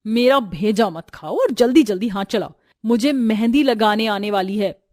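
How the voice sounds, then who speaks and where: A woman voicing a haughty, sharp-tongued young city daughter-in-law in a family story, snapping scornfully and mixing in English words. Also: medium pitch, lively intonation, normal speed, close to an everyday voice. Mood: scornful, bossy. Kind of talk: Hindi family storybook voice acting.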